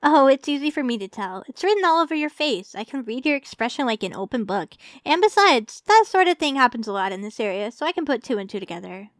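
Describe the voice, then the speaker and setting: Casting: actress as sweetly obsessive young female champion for ASMR roleplay; high voice, coaxing and teasing, close dry sound. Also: lively intonation, fast, hardly any pauses, no room sound. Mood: eager, inviting. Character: tall, doting, teasing young woman Pokemon champion, secretly obsessive.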